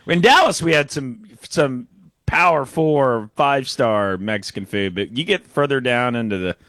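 A man's voice: medium pitch, animated, moderate pace, a bit bright, no room sound. Male radio co-host, wry and opinionated, dry studio sound. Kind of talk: casual sports radio banter.

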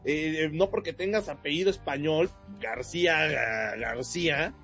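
A Mexican man with a Mexican Spanish accent, co-hosting a casual sports chat show, talking in an animated, mocking way and often laughing. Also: fairly high pitch, a little slow, creaky, muffled recording, soft background music. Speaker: show co-host.

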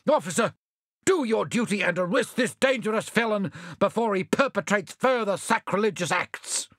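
A man's voice: high-pitched, expressive, moderate pace, fairly bright tone, no room sound, studio quality, pitched above the performer's own voice. A man voicing a pompous, cowardly town mayor who blusters and gives orders. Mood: blustering. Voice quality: blustering, self-important.

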